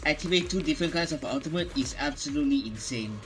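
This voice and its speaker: A man's voice: a little high, expressive, quick pace. A man giving casual reaction commentary as a gaming streamer, with game music behind him.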